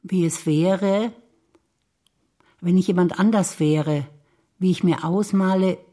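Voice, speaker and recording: An older woman from Bavaria recites memories on stage in a low, measured voice. Her delivery is reflective and list-like. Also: dark tone. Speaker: stage actor.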